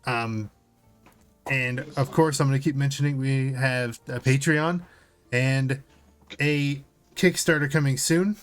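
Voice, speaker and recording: Man, podcast host, medium pitch, casual game chat, music in background. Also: fairly even intonation, slow. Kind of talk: casual podcast discussion of tabletop games.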